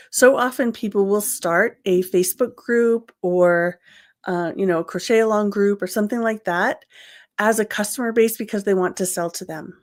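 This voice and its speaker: A middle-aged woman hosting a solo podcast and coaching small business owners, speaking earnestly and encouragingly with a stuffy, nasal voice from a cold and plenty of ums and restarts. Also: medium pitch.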